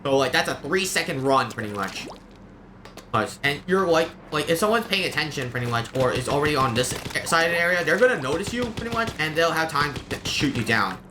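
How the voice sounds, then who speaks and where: A man's voice: a little high, big pitch swings, brisk. A man giving unscripted live game commentary in a rambling, opinionated way, explaining as he goes. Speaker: game streamer. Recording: clear background noise.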